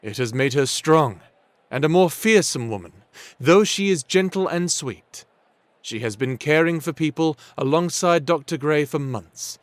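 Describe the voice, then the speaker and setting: A man playing a stately wedding officiant in an audio drama, speaking in a formal, measured, proclaiming manner. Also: a little high, no room sound. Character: stately male wedding officiant serving the king. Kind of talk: ceremonial speech in audio drama.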